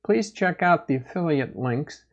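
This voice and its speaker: Creaky-voiced man, slow and measured. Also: a little high, lively intonation, lo-fi sound. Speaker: host of a motorcycle gear review channel. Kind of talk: product update narration.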